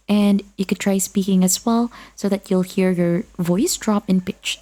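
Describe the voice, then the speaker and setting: A woman presenting a step-by-step software tutorial in an instructional narration style. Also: medium pitch. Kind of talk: instructional screen-recording narration.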